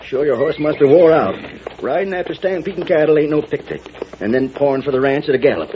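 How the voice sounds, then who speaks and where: A man playing a gruff, decisive older rancher with a folksy drawl in 1940s radio style. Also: a little high, animated, fast, natural voice. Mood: brisk. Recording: noisy, muffled old radio broadcast.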